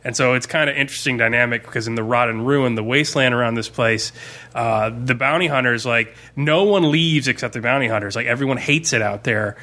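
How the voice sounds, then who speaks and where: A man's voice: medium pitch, brisk, sharp tone. A man chatting casually as a podcast co-host, rambling with slangy, emphatic enthusiasm. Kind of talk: casual podcast chat about zombie fiction and comics.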